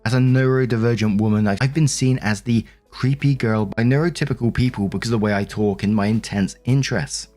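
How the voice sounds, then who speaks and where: A man's voice: mid-range voice, brisk, few pauses, a little mellow. A man reading online stories aloud and commenting on them in a conversational way, as a host. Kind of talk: story narration with casual commentary. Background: background music.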